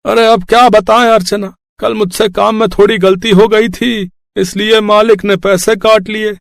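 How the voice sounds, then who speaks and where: Man acting a high-pitched, poor husband. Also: flat delivery, normal speed, gravelly, no echo. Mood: sheepish. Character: tired, hungry, downtrodden poor labourer husband.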